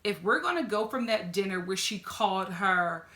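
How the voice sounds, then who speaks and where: Young woman ranting about a tv show, punchy bright voice, kinda deep, sassy, roomy echo. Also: normal speed. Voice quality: fairly deep voice. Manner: animated, sassy, talks straight to the people on screen. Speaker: reality TV reviewer. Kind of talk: casual reaction commentary.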